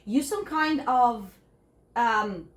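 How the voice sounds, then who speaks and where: A middle-aged woman interviewing a friend on a sofa, her voice sitting a little high. She asks her questions haltingly, full of "uh" and "right?". The room around the stand mic adds an echo. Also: animated. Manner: hesitant, frequent fillers and tag questions. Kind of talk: casual interview. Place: living room, seated on a sofa. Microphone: stand mic between speakers.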